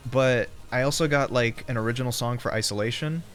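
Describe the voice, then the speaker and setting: A man's voice: mid-range voice, a little fast, fairly bright tone. A young man livestreaming, chatting casually with his viewers. He rambles, laughs often and swears freely. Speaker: streamer. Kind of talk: casual livestream chat, reading chat aloud. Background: faint background music.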